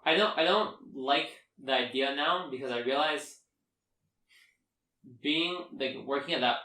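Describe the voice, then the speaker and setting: A man's voice: mid-range voice, unhurried, sharp tone, recorded from a distance. A young adult man co-hosting a podcast in casual, rambling, slangy and jokey chat. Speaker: podcast co-host. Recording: echoey room.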